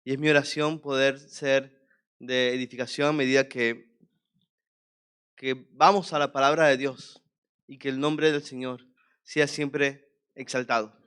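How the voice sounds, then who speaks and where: Smooth-voiced Venezuelan preacher man. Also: medium pitch, level tone, unhurried, pauses often, a bit bright. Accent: Venezuelan Spanish. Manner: earnest, jovial, reads scripture expressively. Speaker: guest preacher. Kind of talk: sermon with scripture reading. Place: church hall.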